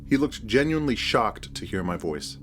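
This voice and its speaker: A man's voice: on the low side, very animated, normal speed, faint music behind. A man narrating a scripted first-person horror story as its narrator.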